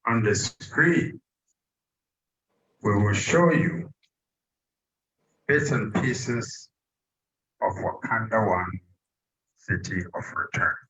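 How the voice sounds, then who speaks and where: Slow-speaking Ghanaian man presenting. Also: on the low side, expressive, fairly bright tone, rough recording. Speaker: project chairman. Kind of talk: public presentation speech.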